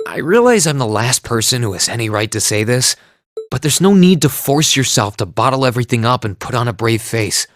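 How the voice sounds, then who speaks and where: Kind teen anime game hero guy male va, sincere, expressive pitch, dry clean booth sound. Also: brisk, dry recording, natural voice. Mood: reassuring. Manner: sincere, considerate. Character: earnest, kind teenage hero and protective big brother. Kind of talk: anime game dub acting.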